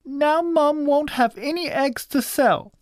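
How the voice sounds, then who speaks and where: A storybook narrator voicing a bossy, grumpy young girl who gives sharp, demanding orders. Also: medium pitch, sing-song, measured pace, no room sound, studio quality, pitched above the performer's own voice. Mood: cross. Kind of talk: children's storybook character voice.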